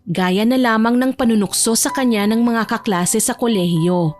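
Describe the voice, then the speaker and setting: High-voiced woman narrating a romance story. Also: normal speed, a little mellow, faint music behind.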